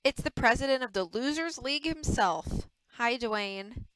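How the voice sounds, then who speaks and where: A woman's voice: fairly high pitch, expressive, unhurried, fairly bright tone, clean tone, dry recording, poor audio. Woman playing a cocky, teasing young male star. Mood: scornful. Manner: flippant, scornful, boastful.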